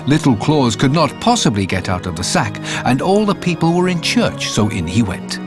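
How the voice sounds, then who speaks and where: A man narrating a fairy tale in a measured, expressive storybook reading. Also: medium pitch. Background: faint music.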